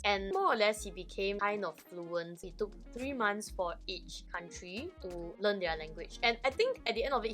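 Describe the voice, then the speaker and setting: A woman's voice: mid-range voice, a bit bright. A woman vlogging casually about learning a language, chatty and playfully teacherly, often checking in with the viewer. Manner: chatty, keeps checking in with "okay?". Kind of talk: casual vlog chat.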